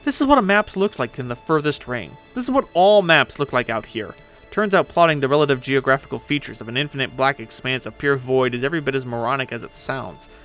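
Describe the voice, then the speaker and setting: A man's voice: medium pitch, fairly bright tone. A man voicing a brash, sarcastic, boastful female pirate ghost in a dramatic story reading. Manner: impatient, sarcastic, swearing.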